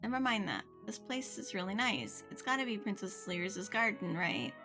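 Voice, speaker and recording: A woman's voice: medium pitch, sing-song, normal speed. A woman streamer reading video game dialogue aloud expressively, with casual offhand remarks. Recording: music behind the voice. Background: video game music.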